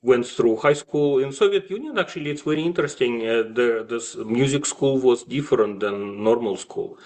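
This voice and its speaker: A man from Russia with a Russian accent, telling his story as an interview guest in a halting way with frequent pauses. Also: mid-range voice, darkish tone. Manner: halting, with frequent pauses and slight grammatical slips. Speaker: guest, classical violist and music professor.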